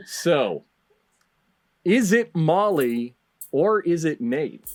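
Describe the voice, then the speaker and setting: Slow, mock-ceremonial male game show host. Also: a little high, big pitch swings. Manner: deliberate, mock-ceremonial delivery with dramatic pauses. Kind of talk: hosting a comedy panel game.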